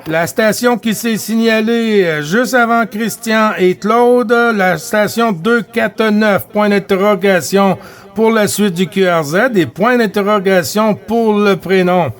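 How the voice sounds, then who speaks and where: High-voiced Québécois man on the radio. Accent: Québécois French. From Quebec. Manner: unhurried, chatty, frequent hesitations and tag words. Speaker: CB radio net operator. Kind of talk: casual radio chat running a net.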